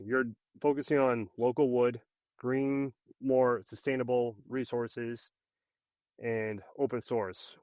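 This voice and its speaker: A man hosting a podcast, asking questions in a relaxed, informal way. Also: mid-range voice, clean tone, dry recording. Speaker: podcast host. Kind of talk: casual interview questions.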